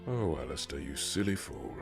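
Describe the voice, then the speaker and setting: A man's voice: rumbling low, big pitch swings, moderate pace, faint music behind, exaggerated voice. A man acting as a forgiving, teasing companion in an audio drama, with an affectionate grumble. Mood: fond, forgiving.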